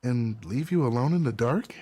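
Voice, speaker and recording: Man voicing Sam, a calm young woman. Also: mid-range voice, moderate pace, husky. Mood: calm, reassuring.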